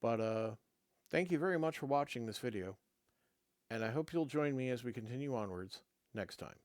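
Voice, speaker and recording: A man's voice: medium pitch, expressive, no room sound. A middle-aged man hosting his own show, reading a game rulebook aloud with casual asides, his voice going dry and fading. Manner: halting, many fillers and restarts between read passages. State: losing his voice, dry throat.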